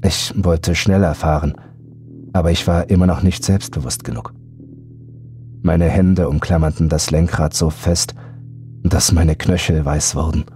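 A man's voice: extremely low pitch, fairly even intonation, a little slow, mellow. A man reading a scripted first-person horror story as an audiobook narrator. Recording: background music under voice.

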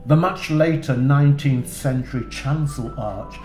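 A man hosting a church tour video, narrating in a slow, dry way with wry asides. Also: medium pitch, a little mellow, echoey room, soft background music.

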